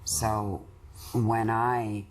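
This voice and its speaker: A woman giving a reflective, measured personal account as a client in a therapy session. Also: a bass voice, flat delivery, slight background noise.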